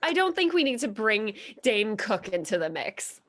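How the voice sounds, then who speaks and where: A woman's voice: fairly high pitch, big pitch swings, moderate pace, a bit bright. A young woman co-hosting a movie podcast with a stuffy nose, making dry, wry quips and laughing often. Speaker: podcast co-host. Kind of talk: casual chat.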